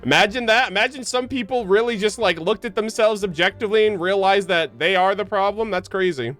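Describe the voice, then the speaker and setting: A man's voice: high voice, brisk, a bit bright, soft background music. A man giving casual, slangy live reactions while playing a game, muttering asides on a close mic. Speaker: game streamer.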